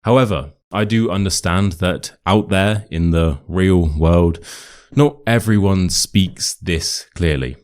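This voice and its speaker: A man's voice: sits low, takes it slowly. A young British man, an English teacher, talking casually to camera and rambling a bit, his voice nasal from a cold. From England. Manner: rambling, relaxed, answers questions aloud.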